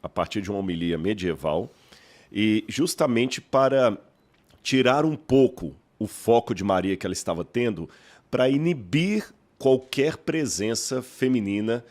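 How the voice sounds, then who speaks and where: A man with a mineiro Brazilian accent and a fairly low voice lays out his points like a teacher. He speaks in measured, explanatory sentences, often posing a question and then answering it himself. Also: expressive, a little mellow. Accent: Mineiro Brazilian Portuguese. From Minas Gerais, Brazil. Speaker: guest scholar. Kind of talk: explanatory podcast interview.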